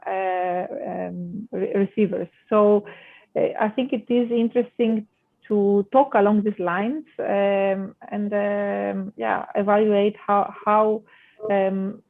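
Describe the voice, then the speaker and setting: A woman from Greece, a designer joining an online panel over a video call, answering thoughtfully with frequent fillers. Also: medium pitch. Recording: tinny phone-like audio over video call.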